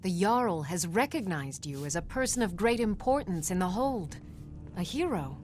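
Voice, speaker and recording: Low-voiced loyal warrior woman, acted. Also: normal speed, soft background music, natural voice. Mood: dutiful, respectful. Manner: formal, plainspoken, steady. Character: dutiful, loyal female warrior housecarl. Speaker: voice actor in a fantasy video game. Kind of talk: fantasy game dialogue.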